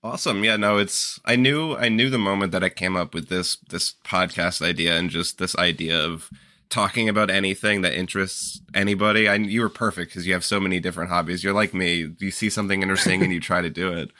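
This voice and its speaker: A man hosting a podcast in casual chat, a little stuffy from a cold, relaxed and rambling. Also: low voice, quick pace, dry recording, clean studio sound. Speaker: podcast host.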